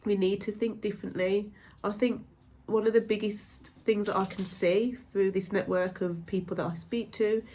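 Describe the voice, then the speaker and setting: A woman's voice: medium pitch, steady tone, moderate pace. A woman, stuffed up with a cold, talking casually and reassuringly as a mind-body coach, with many ums. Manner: gentle, reassuring, rambling with frequent ums. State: congested from a cold. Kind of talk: casual talk to camera.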